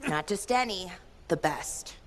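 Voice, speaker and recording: A woman's voice: fairly low pitch, animated, unhurried, slight background noise. A woman playing a spirited, cheeky young noblewoman adventurer in video game cutscene acting, with a breezy, impulsive, informal manner. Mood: eager, intent.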